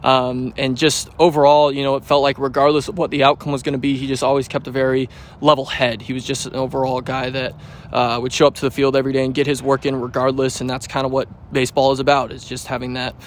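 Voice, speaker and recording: A man in his twenties, a baseball pitcher, answering pregame interview questions in a relaxed, rambling way with many fillers and restarts. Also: quick pace, a little hiss or hum. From United States. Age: 20s.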